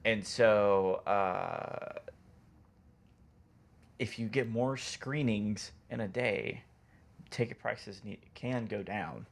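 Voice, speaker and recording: A man's voice: on the low side, extremely slow, gravelly, long pauses. A man chatting casually as a podcast co-host, giving opinions in an explanatory way. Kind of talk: casual chat.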